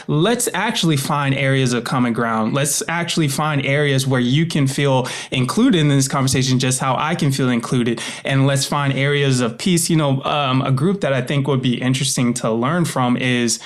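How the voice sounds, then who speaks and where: A man's voice: mid-range voice, normal speed, hardly any pauses. A man speaking as an interview guest in an earnest, reflective way, often voicing hypothetical quotes as he explains himself. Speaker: interview guest, author and voice actor.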